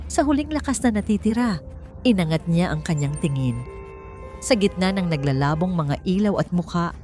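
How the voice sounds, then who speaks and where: Low-voiced Filipino woman narrating over music. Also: darkish tone. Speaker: audiobook narrator. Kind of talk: dramatic scripted narration of a romance drama story. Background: background music.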